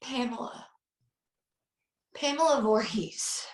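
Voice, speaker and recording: A woman playing a frightened film star in a slasher table read, halting and pleading, over a video call. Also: a little high. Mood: desperate. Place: indoor room on a video call. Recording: roomy, echoing video call audio.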